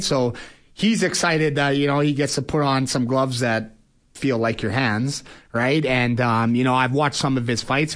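A man's voice: medium pitch, fairly bright tone. A man, talking colloquially as a guest in a casual interview, often saying "you know". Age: early forties. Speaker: boxer and fight promoter, interview guest.

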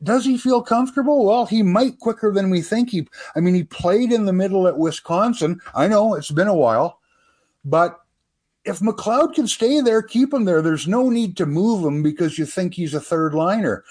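A man's voice: high voice, lively intonation, moderate pace, a little mellow. A man giving his opinions as a commentator in a casual, folksy sports radio discussion. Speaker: sports writer and commentator guest.

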